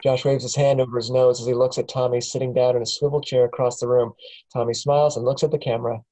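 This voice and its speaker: A man reading the stage directions aloud at a script table reading, in a plain, steady way. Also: medium pitch, quick pace, low-quality recording. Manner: plain, steady, chuckles at times.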